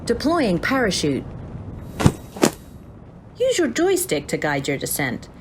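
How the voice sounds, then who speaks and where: An actress playing a calm, detached female game AI, giving clipped instructions and warnings in a video game parody drama. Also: medium pitch, slow pace, noisy background. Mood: calm, instructive. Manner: flat, clipped announcements and instructions.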